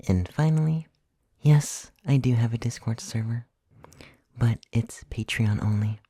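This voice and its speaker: A man who creates ASMR audio, talking casually to listeners in a soft, breathy, often whispered voice. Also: mid-range voice, a little slow, no room sound, studio quality. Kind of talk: casual soft-spoken ASMR channel plug.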